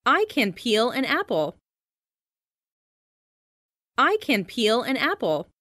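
A woman narrates a language lesson at a very unhurried pace. Her fairly high voice rises and falls animatedly as she reads each example sentence with care. The sound is close and dry. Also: sing-song, sharp tone, no room sound. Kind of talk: scripted example sentences read slowly for learners.

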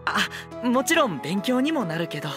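An actor playing a gentle, eager, boyish young lord, soft-spoken, polite and earnest, in an anime drama. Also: male voice, sits high, very animated, moderate pace, music in the background.

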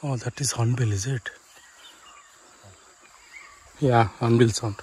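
A man vlogging a forest walk, asking quick curious questions in Hindi and English. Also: medium pitch, steady tone, darkish tone, a little hiss or hum. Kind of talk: casual travel vlog chat. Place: forest outdoors. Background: faint insects and forest ambience.

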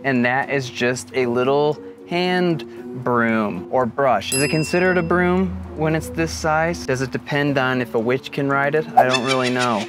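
A man in his thirties casually talking to camera from inside his camper van, relaxed and jokey. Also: mid-range voice. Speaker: vlogger. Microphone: camera at close range.

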